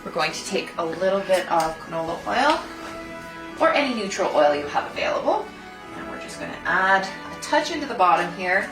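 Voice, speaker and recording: A woman's voice: medium pitch, sing-song, fairly quick. A woman hosting a cooking demonstration, explaining the recipe step by step in an instructional way. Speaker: food designer and cooking host. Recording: roomy echo, distant mic, music behind.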